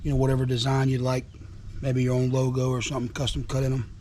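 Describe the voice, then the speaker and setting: A man with a hoarse, worn voice from being sick, casually showing off truck parts with folksy enthusiasm and lots of repeats. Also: mid-range voice, completely flat delivery, quick pace, a little mellow, a little hiss or hum. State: hoarse from illness. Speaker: trucking vlogger. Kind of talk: casual product show-and-tell. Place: outdoors at a house.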